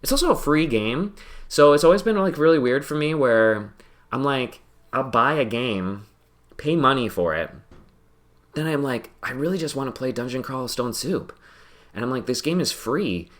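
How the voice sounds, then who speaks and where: A man's voice: mid-range voice, animated, moderate pace. A man hosting a podcast, chatting casually while playing a game, rambling and self-deprecating and laughing often. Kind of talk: casual chat while gaming.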